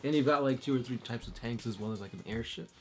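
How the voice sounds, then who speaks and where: A man's voice: mid-range voice, quick pace. A man co-hosting a casual chat and reading viewer questions, easygoing and thinking aloud with uhs.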